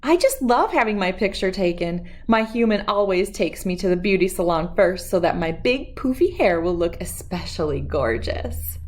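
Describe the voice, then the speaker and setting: A woman's voice: medium pitch, sing-song, moderate pace, few pauses, darkish tone, lightly acted. A woman voicing a proud, gushing female cat in a storybook, with a showy manner.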